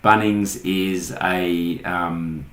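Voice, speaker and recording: An Australian man with a fairly low voice presents an informal how-to tutorial. He explains each step as he goes through a screen demonstration. The recording is noticeably roomy and echoey. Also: fairly even intonation, very slow, a bit bright. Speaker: online marketing tutorial host.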